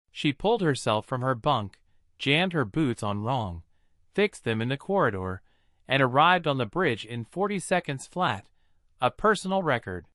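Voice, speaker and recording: A man tells a science fiction story with dramatic, deliberate phrasing. His voice is bright and forceful, with lively swings in pitch. The sound is very dry and close, with no room echo. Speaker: story narrator. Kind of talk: scripted science fiction narration with acted dialogue.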